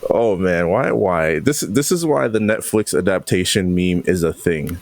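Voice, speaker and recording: A man's voice: sits low, expressive, a little slow, no echo. A man co-hosting a podcast, chatting casually in a wry, slangy, informal way. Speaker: podcast co-host. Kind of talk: casual chat.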